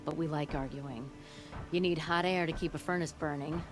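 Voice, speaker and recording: A woman's voice: low-pitched, steady tone, normal speed, lightly acted. An actress playing a proud, middle-aged forge boss in a video game, blunt, wry and folksy, with music under her. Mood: boastful, amused. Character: brash, proud older forge boss woman, gruffly humorous. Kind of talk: video game character acting.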